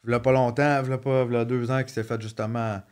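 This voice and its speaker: A man's voice: mid-range voice, little pitch movement, moderate pace, clear, resonant tone. A man in his thirties with a Quebec French accent, a podcast guest telling personal stories in casual chat, joking and restarting often. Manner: joking, frequent restarts and fillers. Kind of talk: casual storytelling.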